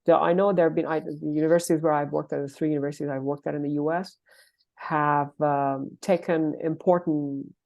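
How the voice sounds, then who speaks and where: A woman's voice: low voice, steady tone, a little fast, gravelly, darkish tone, highs cut off. A woman professor giving explanatory interview answers, careful and hesitant, often restarting and rephrasing her words. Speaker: linguistics professor, interview guest.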